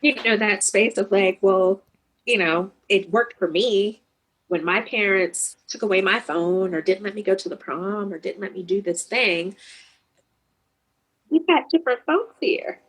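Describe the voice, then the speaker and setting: A woman's voice: mid-range voice, a little slow, a bit bright, low-quality recording. A middle-aged woman therapist talking earnestly about grief in an interview, acting out kids' replies now and then. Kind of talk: reflective interview talk.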